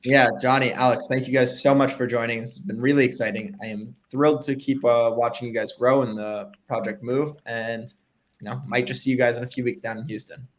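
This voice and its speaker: A man's voice: mid-range voice, fairly even intonation. A young man hosting a live interview over a video call from home, upbeat and chatty with casual fillers. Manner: upbeat, fillers, quick reactions. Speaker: livestream host. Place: home room with framed pictures.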